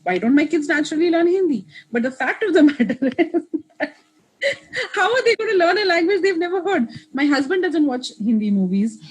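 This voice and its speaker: A woman's voice: high voice, sing-song, fairly quick, poor audio. A woman in her thirties, a guest in an interview, talking in a reflective way with many restarts and asides. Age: 30s. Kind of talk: casual interview conversation.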